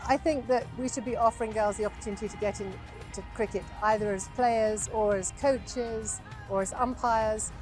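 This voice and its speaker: A woman's voice: on the high side, steady tone, normal speed, over music, low-quality recording. Earnest woman in an interview. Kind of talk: documentary interview.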